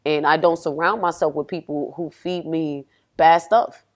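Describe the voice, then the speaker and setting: A young adult woman, a rapper guest, from Pennsylvania and raised in Texas, talking casually and acting out what people said. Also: measured pace. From Pennsylvania, grew up in Houston, Texas. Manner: animated storytelling, acts out quotes with rising pitch. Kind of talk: casual podcast conversation.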